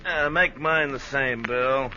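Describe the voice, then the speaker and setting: An actor playing a smooth-talking, pushy man flirting at a bar in a 1950s radio drama, casual in manner. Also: mid-range voice, takes it slowly, close to an everyday voice. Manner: casual, pushy, hesitant starts. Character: smooth-talking, pushy male barroom admirer. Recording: muffled, faintly noisy old radio recording.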